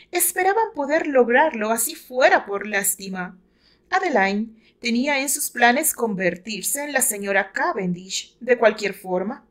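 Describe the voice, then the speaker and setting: A woman with a Mexican accent reads a dramatic novel aloud in a measured, expressive storytelling manner. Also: fairly high pitch. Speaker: author narrating her own novel. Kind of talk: dramatic audiobook narration.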